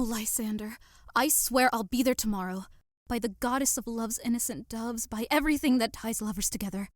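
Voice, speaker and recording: A woman playing a devoted young lover in an audio drama, earnest and expressive in a theatrical way. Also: mid-range voice, no room sound, studio quality, close to an everyday voice. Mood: earnest devotion. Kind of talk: modernized Shakespeare audio drama acting.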